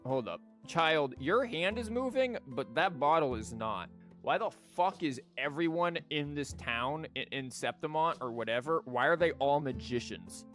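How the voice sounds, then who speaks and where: A man's voice: medium pitch, a bit bright. A young man streaming a story game live, swearing and making sarcastic jokes at the characters. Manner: profane, sarcastic joking at the game. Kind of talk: live gameplay commentary. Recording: faint game music behind.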